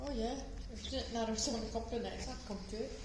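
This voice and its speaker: A woman's voice: a little high, rapid-fire. An enthusiastic woman chatting casually as a craft group member. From Northern Ireland. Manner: enthusiastic, warmly eager. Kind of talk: casual chat. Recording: echoey noisy room.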